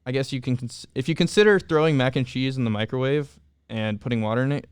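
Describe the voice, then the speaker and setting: A young man in casual interview talk, candidly telling his own story with halting restarts and fillers. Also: mid-range voice, smooth, no echo, clean studio sound. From Southern California. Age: young adult. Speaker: returned service missionary guest.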